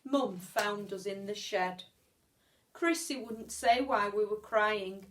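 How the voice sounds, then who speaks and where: A woman reading a children's story aloud like a teacher, expressively, with measured pauses and stretched words. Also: mid-range voice, slight background noise.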